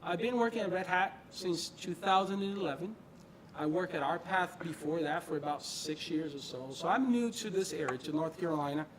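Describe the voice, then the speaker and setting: A man's voice: on the high side, brisk, crowd noise. A middle-aged man from Brazil and New Jersey gives an informal tech talk in a conversational, emphatic way, repeating phrases for stress. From born in Brazil, grew up in northern New Jersey, US. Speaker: presenter, quality engineering manager. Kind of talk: informal tech meetup presentation. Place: meeting room presentation before an audience.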